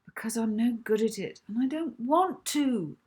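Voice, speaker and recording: Reluctant schoolgirl for a children's audiobook, read by a woman; sulky, stubborn, breathy edge. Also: on the high side, animated, moderate pace, darkish tone, voice pushed up. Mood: sulky protest. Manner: sulky, protesting.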